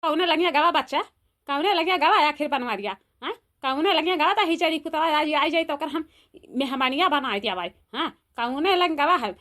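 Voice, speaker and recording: A woman's voice: high voice, fairly bright tone. A woman with an Awadhi accent playing a scolding older village mother-in-law in a comedy cartoon, firing repeated rhetorical questions.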